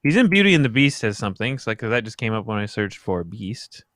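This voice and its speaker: A man, around his thirties, talking casually as a podcast panelist in a halting, rambling way. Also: on the low side, very animated, fairly bright tone, no room sound, studio quality. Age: millennial, around 30s. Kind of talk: casual group chat.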